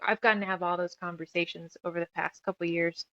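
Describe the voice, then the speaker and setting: A woman's voice: on the low side, level tone, fast, bright, forceful tone, dry recording, lo-fi sound. A woman chatting casually as an interview guest, talkative and self-deprecating. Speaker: guest author and musician.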